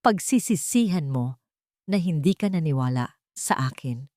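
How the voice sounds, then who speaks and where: Woman narrator as young mother, meek, dignified, soft restrained speech, close dry storybook recording. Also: low-pitched, animated, unhurried, no room sound. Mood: quietly hurt.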